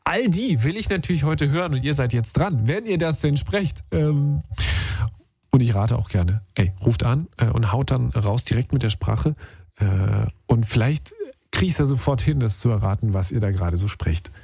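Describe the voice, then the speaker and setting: A man's voice: medium pitch, expressive, normal speed, gravelly, dark tone, breathy edge, no echo. A man hosting a radio phone-in, talking casually and chattily with youthful slang.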